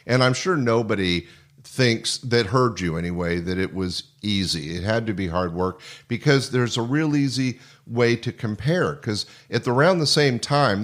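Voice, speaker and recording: A man hosting a conversational interview, speaking in a complimentary, rambling way. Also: on the low side. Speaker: podcast host interviewing guests.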